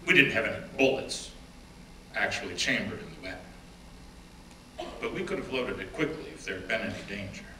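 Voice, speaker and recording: A man's voice: fairly high pitch, sharp tone. Man reading aloud in an echoey hall. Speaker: reader at a dramatic reading. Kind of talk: first-person dramatic reading of an oral history. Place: stage or event room. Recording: roomy, distant mic with faint background noise.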